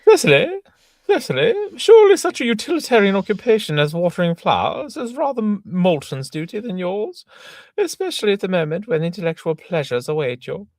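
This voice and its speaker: A man voicing a prim, stern older female governess in a high fluttery falsetto, reading a play aloud. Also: wildly swooping pitch, brisk, darkish tone, no echo, heavily acted. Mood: prim scolding.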